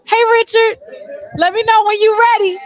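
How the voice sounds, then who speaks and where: A middle-aged woman with a high voice hosts a casual interview on location. She chats playfully and piles on compliments as she talks. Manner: chatty, playful, effusive with compliments. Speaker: show host and interviewer. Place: on location at a theatre after a stage play.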